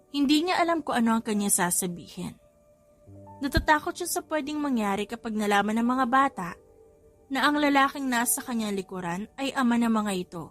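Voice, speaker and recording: A woman's voice: a little high, a bit bright. A woman reading a story as an audiobook narrator in scripted narration.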